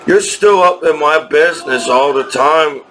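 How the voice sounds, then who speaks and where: A man in his thirties talking in a rambling personal vlog, halting and repetitive with many restarts. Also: a little high, a bit bright, a little hiss or hum, poor audio. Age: 30s. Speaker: vlogger.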